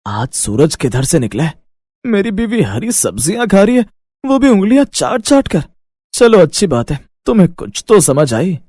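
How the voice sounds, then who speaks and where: Good-natured young husband, male actor, story drama: animated, exclaiming banter, dry close sound. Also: high voice, normal speed, no room sound. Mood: teasing. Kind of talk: Hindi moral story voice acting.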